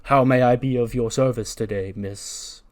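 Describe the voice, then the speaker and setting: A man voicing a courteous, pompous janitor and former wrestler who speaks in a wordy, formal, deliberate way. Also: mid-range voice, steady tone, lightly acted. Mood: formal, dignified. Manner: verbose, overly formal, deliberate.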